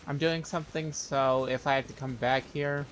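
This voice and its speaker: A man's voice: medium pitch, fairly even intonation, normal speed, fairly bright tone, a little hiss or hum, low-quality recording. A man streaming a video game, thinking aloud in casual, offhand commentary. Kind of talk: casual live gameplay commentary.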